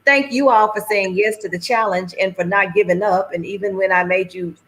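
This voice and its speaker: A middle-aged woman chatting on a livestream, telling stories with animated, folksy phrasing. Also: mid-range voice, a little fast, rough recording. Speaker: anthology organizer and guest. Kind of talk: casual conversation on a livestream.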